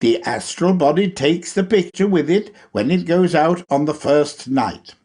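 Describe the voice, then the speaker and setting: A man reads a lecture aloud in a husky, somewhat rough voice. His delivery is slow and instructive, with deliberate emphasis on phrases and a lively rise and fall in pitch. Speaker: reader of a lecture. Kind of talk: read-aloud esoteric lecture.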